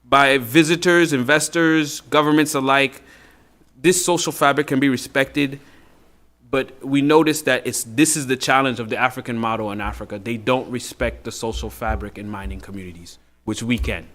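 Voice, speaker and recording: A man's voice: medium pitch, steady tone, moderate pace, fairly bright tone. A man speaking at a hearing, hesitant, with many fillers and restarts. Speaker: witness at a hearing. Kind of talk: spoken testimony.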